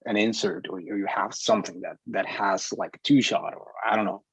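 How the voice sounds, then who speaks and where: Low-voiced man, husky, halting delivery. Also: steady tone, dry recording, poor audio. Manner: halting, repeats words, swears casually. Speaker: film instructor leading a class discussion. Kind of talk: casual spontaneous discussion. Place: online group video meeting.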